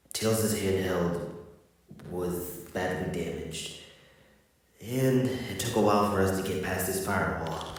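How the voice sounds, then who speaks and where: A man playing a grave, guarded hedgehog in an audio drama, speaking solemnly and deliberately with weighty breaks. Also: low-pitched. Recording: very echoey, distant from the mic.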